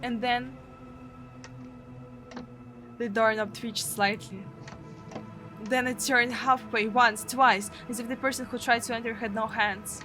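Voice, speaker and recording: A woman's voice: a little high, fairly even intonation, takes it slowly, pauses often, over music. A woman with a Russian accent, a native Russian speaker, dramatically narrating a visual novel as a streamer. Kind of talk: dramatic narration and live translation of game text.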